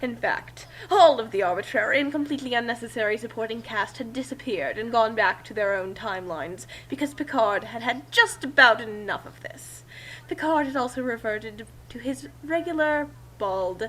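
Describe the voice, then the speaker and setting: A woman narrates a story in a bright, forceful, fairly high voice. It is an amateur dramatic reading, with faint noise and hum on the recording. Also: moderate pace, low-quality recording. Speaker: narrator and co-reader.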